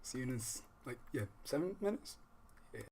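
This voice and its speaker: A man hosts a livestream in a casual, rambling way. His voice sits at a medium pitch, and his talk is loose and slangy. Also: expressive, normal speed, a little mellow, a little hiss or hum. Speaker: livestream host.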